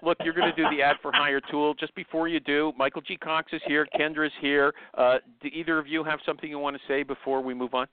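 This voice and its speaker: Male host, teasing and bossy, a bit high-pitched, dry and phone-thin sound. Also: level tone, fast talker, hardly any pauses, clean tone, no room sound. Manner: teasing, interrupting, bossy with "okay?". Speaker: podcast host. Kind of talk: casual podcast chat with an ad read. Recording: dry, tinny phone-like line.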